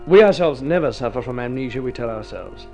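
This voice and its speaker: Formal old-time radio host guy, theatrical and urbane, lively pitch, music under him. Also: fairly quick. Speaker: narrator and series host. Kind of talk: 1950s radio scripted narration.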